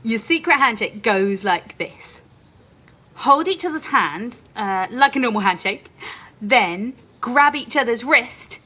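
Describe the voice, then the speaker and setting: Playful girly guide lady, super high bright voice, real animated, encouraging, audio play game. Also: exaggerated voice. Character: excitable, playful female companion guiding listeners through games. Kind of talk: interactive audio play, instructing listeners.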